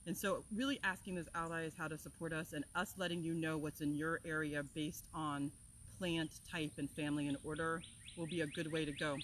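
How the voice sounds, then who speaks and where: A woman from Florida, an herbal teacher, talking in an energetic, passionate way as she opens an outdoor class. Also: on the low side, a little hiss or hum. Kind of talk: casual talk to open an outdoor class. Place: outdoors among plants, with birds and insects.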